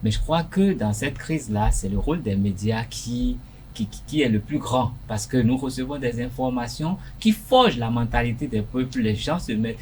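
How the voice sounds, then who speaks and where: A man's voice: mid-range voice, lively intonation, moderate pace, a little mellow, slight background noise. A man co-hosting a televised panel debate as a journalist, pressing the guest with earnest, probing questions. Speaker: journalist co-host.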